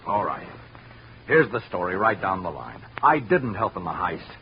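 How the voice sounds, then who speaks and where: A low-voiced, tough middle-aged watchman with a past, defensive and plain-spoken, his pitch swinging widely. It sounds like a dull vintage radio recording. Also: big pitch swings, moderate pace, slight background noise. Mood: defensive, pleading. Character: defensive working-class ex-convict watchman, devoted father. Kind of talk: 1950 radio detective drama acting.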